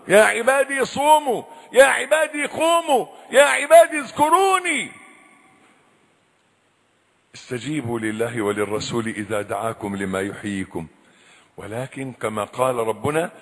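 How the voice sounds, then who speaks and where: A high-voiced preacher whose pitch leaps and falls as he pleads, cries out, then drops to a hushed warning. His tone is bright and forceful. His voice rings through a reverberant hall. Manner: impassioned, swings between loud cries and hushed low warnings. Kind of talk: sermon. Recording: echoey hall with long reverb.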